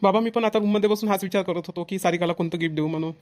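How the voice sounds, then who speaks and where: Casting: male actor as young village groom-to-be for cartoon, Vidarbha Marathi, earnest and eager, very brisk pace. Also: high-pitched, darkish tone, natural voice. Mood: earnest, dutiful. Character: eager young village bridegroom, a dutiful son. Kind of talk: amateur cartoon dialogue.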